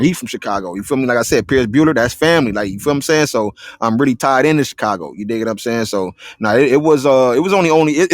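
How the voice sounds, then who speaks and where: A man's voice: mid-range voice, no echo, studio quality. Laid-back man hosting with street slang. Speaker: interview host. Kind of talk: casual interview chat.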